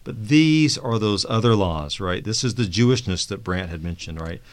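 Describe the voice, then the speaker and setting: Low male panelist and Bible teacher, halting restarts, explanatory. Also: expressive. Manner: repeats words while thinking, adds tag questions. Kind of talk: panel Bible study discussion.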